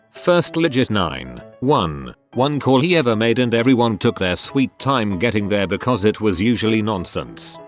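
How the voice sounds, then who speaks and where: A man narrating, reading out written forum stories from a script. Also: on the low side. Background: music.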